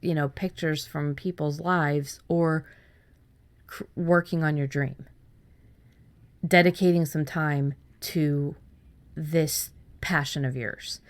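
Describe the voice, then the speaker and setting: Chatty woman podcast host, lowish voice. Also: fairly even intonation, takes it slowly, long pauses. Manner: chatty, many restarts and repeated words. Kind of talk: casual podcast chat.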